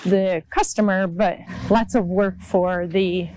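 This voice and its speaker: A woman's voice: on the low side, fairly even intonation, slow, background noise. A woman in her fifties chatting casually as she walks around a marina, filming her own vlog. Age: 50s. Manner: chatty, laughs easily. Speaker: vlogger touring a boat yard. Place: outdoors at a marina.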